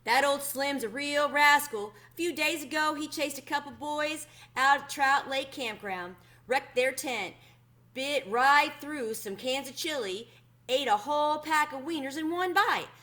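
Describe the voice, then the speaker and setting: A woman's voice: sits high, unhurried, bright, forceful tone, voice pushed up. Woman voicing a folksy, dismissive older park ranger. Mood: amused, folksy. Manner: folksy, casual, shrugging.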